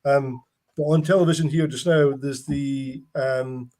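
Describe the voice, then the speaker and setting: Male host, middle pitch, chatty, rambling, lots of restarts. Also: fairly even intonation, measured pace, dark tone. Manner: rambling anecdotes with frequent restarts. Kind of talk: casual chat.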